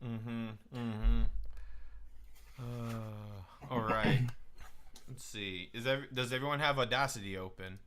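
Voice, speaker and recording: A man with a naturally loud voice hosting a casual, sweary group chat. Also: medium pitch, steady tone, takes it slowly, long pauses, crowd noise. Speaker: podcast host.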